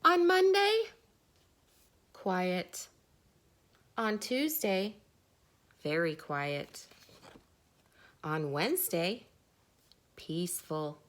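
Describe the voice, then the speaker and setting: A woman, a teacher, reading a children's story aloud with deliberate dramatic pauses. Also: fairly low pitch, expressive, fairly bright tone.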